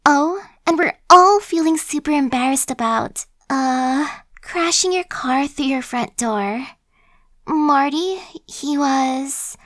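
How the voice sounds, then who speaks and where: A woman's voice: high-pitched, dry recording, studio quality. A woman playing a chatty, sly young female zombie in an ASMR roleplay, talking in a slangy, cajoling, buddy-like way. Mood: nervous, placating. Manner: casual slangy wheedling, buddy-like.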